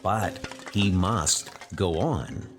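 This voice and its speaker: A man's voice: deep voice, extremely slow. A man narrating a horror comic story in a dramatic, scripted storytelling style. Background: music.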